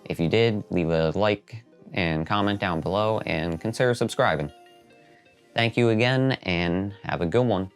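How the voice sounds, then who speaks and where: Low-voiced man narrating a video essay. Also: unhurried. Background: background music.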